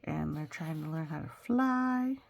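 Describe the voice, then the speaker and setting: A woman's voice: sits low, lively intonation, measured pace, gravelly. A woman reading a children's picture book aloud, playful and full of exclamations and chatty asides.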